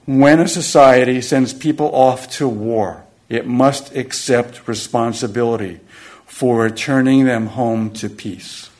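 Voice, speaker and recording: Man, mid-pitched voice, earnest steady reading of a prepared passage. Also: a little slow.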